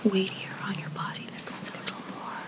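A woman whispering softly and breathily in an ASMR roleplay as a shop consultant, in unhurried short phrases with hesitant pauses. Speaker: ASMR creator playing a bridal boutique consultant.

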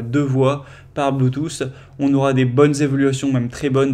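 A man's voice: medium pitch, a little mellow. A man presenting a product comparison as a casual voice-over, explaining things in a conversational, loosely scripted way.

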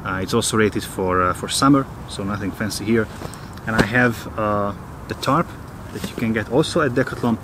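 A man in his thirties from Hungary, casually talking to a close-held camera outdoors in the woods, often pausing with uh. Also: on the low side, background noise. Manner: hesitant, many filler uhs. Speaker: vlogger.

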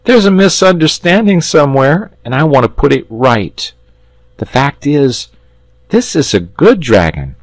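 A man reading a story, voicing an eager, excitable young boy in a raised, pleading voice. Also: a little high, measured pace, no room sound, voice pushed up. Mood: urgent.

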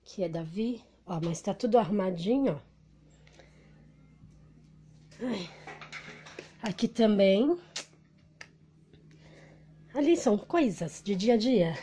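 A woman's voice: medium pitch, dark tone. Woman, 30s, from Brazil, casual home vlog chat, phone at arm's length, noisy recording, small indoor room. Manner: chatty, talks straight to the camera. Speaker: vlogger. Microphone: phone held at arm's length.

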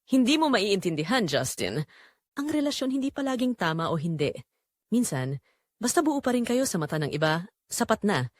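A woman playing a calm, bitter, unfaithful mother in an audio drama, speaking in a measured, firm and unapologetic way. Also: medium pitch, dry recording, studio quality. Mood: quiet, bitter.

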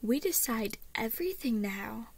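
A woman as a slow, sneering sinister family. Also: a little high, animated, gravelly, slightly rough, no echo, full character voice. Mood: smug menace. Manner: slow, drawn-out, sneering, sing-song. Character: sinister family speaking in smug, menacing unison.

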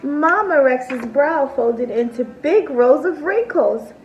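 A woman in her thirties reading a picture book aloud to children like a teacher, expressive and deliberate. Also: high-pitched, muffled recording, a little hiss or hum. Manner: animated, deliberate, explains terms simply. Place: small decorated room at home, indoors.

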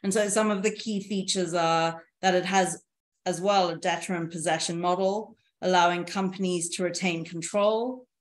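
A woman in her thirties presenting slides on a video call, in a measured, explanatory way. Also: lowish voice, fairly even intonation. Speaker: panelist presenting on insolvency reform. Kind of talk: presentation over shared slides. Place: indoor room, video call. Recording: echoey room on a video call.

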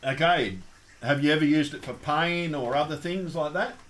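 A man's voice: a little high, normal speed, fairly bright tone. A man with an Australian accent hosting a casual call-in show, rambling and chatty with lots of ums and restarts.

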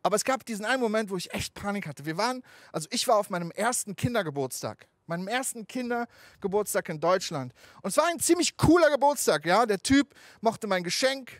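A man in his thirties who grew up in Germany, doing a stand-up comedy set on an outdoor rooftop stage into a handheld mic. He tells stories in an animated, conversational way and swears freely. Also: sits high, brisk, no room sound, studio quality. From Afghanistan, raised in Germany.

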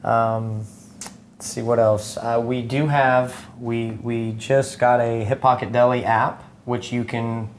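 A man's voice: medium pitch, little pitch movement, slow, a little hiss or hum. A man in his thirties, a chef, casually explaining his food as a guest in a cooking demonstration. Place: indoor studio kitchen. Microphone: lapel mic.

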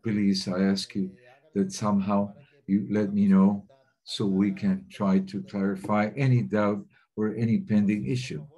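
A man giving a simultaneous interpretation of webinar talks, speaking in a steady, measured way with occasional hesitations. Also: lowish voice, slightly rough, poor audio. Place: remote webinar.

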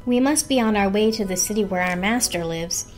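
A woman voicing a polite, earnest little toaster in a storybook, bright and courteous. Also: medium pitch, fairly quick, soft background music, pitched above the performer's own voice, natural voice. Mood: polite, apologetic. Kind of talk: dramatic children's storybook reading.

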